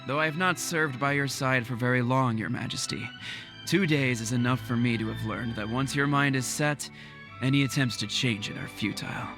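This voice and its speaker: An actor playing a loyal, dutiful royal guard officer in a video game, speaking formally and earnestly. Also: male voice, medium pitch, moderate pace, natural voice. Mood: grave, resigned. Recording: music under the voice.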